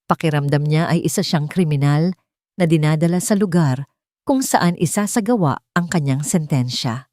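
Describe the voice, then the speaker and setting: Low-voiced woman narrating a dramatic story. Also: animated, normal speed, mellow, no echo, studio quality. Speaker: storyteller of Tagalog romance audio stories.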